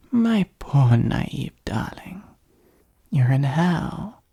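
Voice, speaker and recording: A performer voicing a teasing, possessive demon in an ASMR roleplay, in a breathy, husky whisper with a smug, dominant, drawn-out delivery. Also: medium pitch, mellow, full character voice. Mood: smug, taunting. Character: teasing, possessive demon luring a summoned human into a contract. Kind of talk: ASMR roleplay, whispered and slow dramatic monologue.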